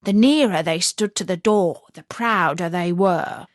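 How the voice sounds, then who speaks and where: A woman narrating a scripted fairy tale as a storyteller, in an expressive, measured storybook manner. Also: lowish voice, no echo, clean studio sound.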